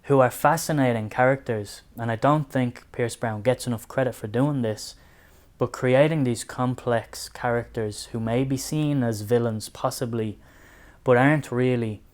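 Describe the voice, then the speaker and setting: A man's voice: mid-range voice, normal speed. A man with an Irish accent giving a casual book review to camera, speaking in an earnest, reflective and personal way.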